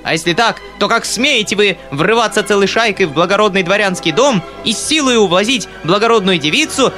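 A man's voice: high-pitched, voice pushed up, natural voice. A man voicing a furious middle-aged noblewoman who screeches and scolds, with music playing behind.

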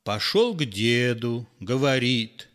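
A man reading a verse fairy tale aloud in a theatrical, lively storytelling manner. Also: medium pitch, takes it slowly. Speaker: narrator.

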